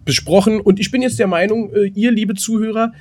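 A man chatting casually as a podcast host, rambling and halting, often repeating his words mid-sentence. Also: sits high, steady tone, darkish tone. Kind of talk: casual chat between co-hosts.

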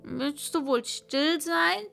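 A woman's voice: high-pitched, slow, creaky, a bit bright, faint music behind, voice pushed up, full character voice. A woman voicing a wild, bossy robber girl in a read-aloud fairy tale, brash and teasing.

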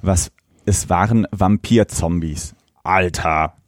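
A man's voice: low-pitched, takes it slowly, no echo, clean studio sound. A man co-hosting a podcast, in casual review talk and reading aloud. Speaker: podcast co-host.

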